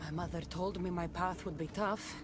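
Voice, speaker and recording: A woman's voice: fairly low pitch, fairly even intonation, moderate pace, over music, close to an everyday voice. An actress voicing a determined, wry mortal warrior woman of ancient Greece in a video game, speaking in a dry, resolute way. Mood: serious.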